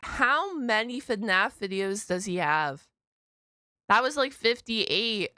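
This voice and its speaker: A woman with a bright, crisp voice hosts a reaction video in a chatty, expressive way. She speaks casually and throws in exclamations, recorded close and dry. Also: medium pitch, takes it slowly, no room sound. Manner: expressive, exclaims and interjects often. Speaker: host of a reaction channel.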